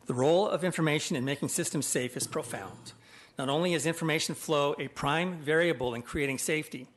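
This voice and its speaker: A man reading prepared testimony to a legislative committee in a measured, formal manner. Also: mid-range voice. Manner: measured, formal, abstract wording.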